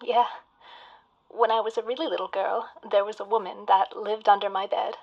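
An actress playing a haunted woman caller on a radio show, speaking in hushed, creepy tones that slip into whispers. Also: medium pitch, little pitch movement, moderate pace, vocal fry, lo-fi sound. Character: haunted woman caller, eerie and quietly unsettling. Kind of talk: audio drama acting.

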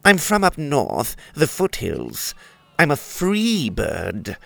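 A man's voice: a little high, wildly swooping pitch, vocal fry, pushed and bright, no room sound, pitched above the performer's own voice, heavily acted. A man voicing a pompous, grumpy male turkey who complains with a haughty, sneering drawl. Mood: scornful. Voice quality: haughty, sneering, drawn-out delivery.

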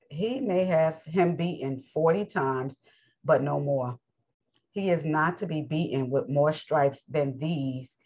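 A raspy, deep-voiced woman from the United States teaches scripture with emphatic, preachy force. Her strained voice stays low, and she keeps clearing her throat. The recording sounds dull and lo-fi. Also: darkish tone. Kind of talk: bible lesson with commentary.

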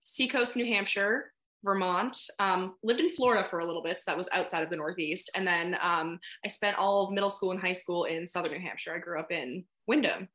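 Bright-voiced chatty young woman. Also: lowish voice, fairly quick. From New England. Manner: chatty, laughs often, lots of "like" and "um". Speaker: podcast guest, content creator. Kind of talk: casual podcast chat.

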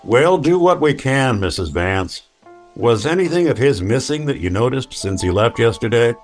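An actor playing a weary, wry synth detective with a dry deadpan delivery in an old-time radio noir drama. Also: male voice, medium pitch, normal speed, soft background music, lightly acted. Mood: businesslike.

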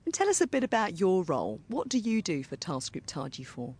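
A presenter introducing a military program and interviewing a soldier outdoors, speaking in a clear, measured way. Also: mid-range voice, huge pitch swings, darkish tone.

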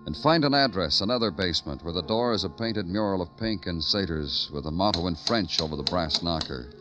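A man's voice: sits low, animated, brisk. An actor playing a terse, world-weary male police detective, clipped and dry, in an old radio crime drama. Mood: brooding. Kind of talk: 1940s-50s radio crime drama acting and narration. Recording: muffled old radio recording with music.

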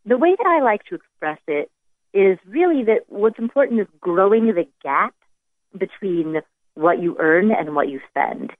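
A woman's voice: mid-range voice, sing-song, normal speed, dry recording. An American woman giving conversational, explanatory answers as a podcast guest, heard over a phone-like connection. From United States. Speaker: personal finance expert, podcast guest. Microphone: remote phone-like connection. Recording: dry, phone-like band-limited call.

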